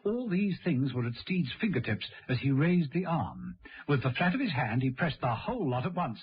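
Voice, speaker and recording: Fairly high-voiced male radio drama narrator. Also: very animated, normal speed. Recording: noisy, dull old radio recording.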